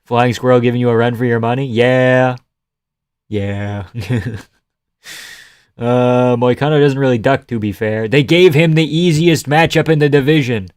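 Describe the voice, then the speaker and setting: Sarcastic young man with a smooth voice. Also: medium pitch, measured pace, no room sound, clean studio sound. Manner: sarcastic, offhand, swears and laughs often. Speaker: live stream host. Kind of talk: casual live stream chat reading comments.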